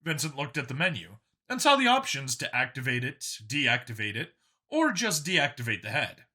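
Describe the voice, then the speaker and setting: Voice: mid-range voice, sing-song, moderate pace, sharp tone. A narrator reading a scripted science fiction story as an audiobook.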